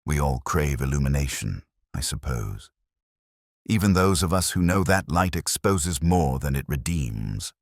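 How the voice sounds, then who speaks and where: A man playing a weary, melancholy, razor-minded police inspector, narrating a detective audio drama in a measured, literary, brooding way. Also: extremely low pitch, expressive, creaky, no echo, clean studio sound, exaggerated voice. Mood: brooding, reflective.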